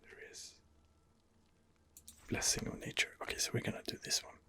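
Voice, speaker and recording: A man giving ASMR game commentary in a breathy, husky whisper, hesitant and rambling as he muses his way along. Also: moderate pace. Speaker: video game streamer, channel host.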